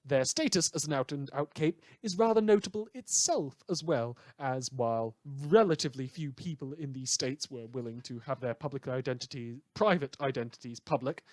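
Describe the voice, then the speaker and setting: A man's voice: medium pitch, moderate pace, hardly any pauses, dry recording, natural voice. A man playing an opinionated, wry radio news host, delivering a chatty, theatrical monologue with sardonic asides in an audio drama. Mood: wry, musing. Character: opinionated, indignant, wry male small-station radio presenter.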